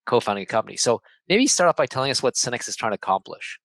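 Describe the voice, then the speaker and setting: A man's voice: medium pitch, sing-song, rapid-fire, fairly bright tone, no echo. A man hosting an interview, asking encouraging but rambling questions about a startup. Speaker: interview host. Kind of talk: conversational interview.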